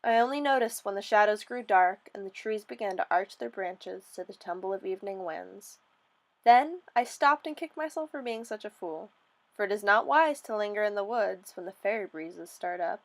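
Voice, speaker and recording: A woman giving a theatrical, expressive dramatic reading of a first-person fairy story, as a storyteller. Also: medium pitch, moderate pace, poor audio.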